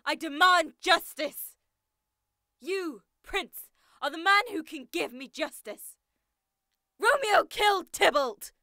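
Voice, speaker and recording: A woman's voice: very high voice, animated, slow pace, pauses often, bright, forceful tone, dry recording, studio quality, heavily acted. An actress playing an anguished, vengeful noblewoman, melodramatic and demanding, in a modernized Shakespeare audio drama. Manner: wailing, melodramatic.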